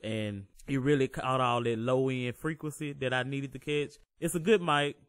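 A deep-voiced man hosting a casual home-recording tutorial as a voice-over, laid-back, reassuring and loose with profanity. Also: fairly even intonation, normal speed, clear, resonant tone, no echo, clean studio sound.

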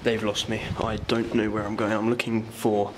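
A man's voice: fairly low pitch, monotone, moderate pace. A young man narrating casually as he explores abandoned buildings, swearing and thinking aloud. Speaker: vlogger. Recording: handheld camera with background noise.

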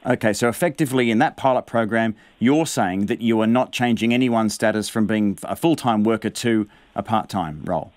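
A man's voice: on the low side, brisk, few pauses, no room sound. A man in his thirties with a New Zealand accent, presenting the news and pressing an interviewee with probing questions in a radio studio. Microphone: studio mic on a boom.

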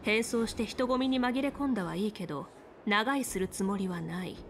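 A woman's voice: mid-range voice, moderate pace, slight background noise, lightly acted. An actress playing a confident, commanding woman general and seasoned strategist in a video game. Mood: firm, measured. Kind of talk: Japanese video game voice acting.

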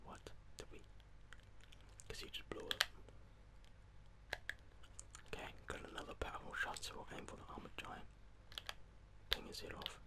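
A man's voice: normal speed, pauses often. Whispering man doing ASMR game commentary. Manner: casual, sweary. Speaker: gaming video host.

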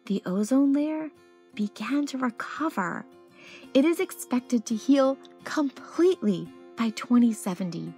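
A woman storyteller reading a children's picture book aloud expressively, with deliberate phrasing and dramatic pauses. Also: fairly high pitch, a little mellow. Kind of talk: expressive read-aloud narration.

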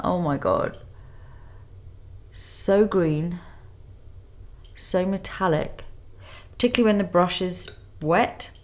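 Woman in her thirties, nasal from a cold, lowish voice, calm makeup tutorial, studio. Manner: calm step-by-step instruction while applying makeup. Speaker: makeup artist. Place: indoor studio with plain grey backdrop.

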